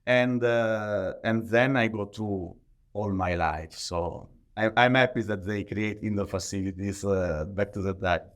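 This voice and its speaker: A man with an Italian accent answering interview questions conversationally in halting, non-native English, often leaning on the word "like". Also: on the low side, steady tone. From Italy. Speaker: podcast guest, professional athlete.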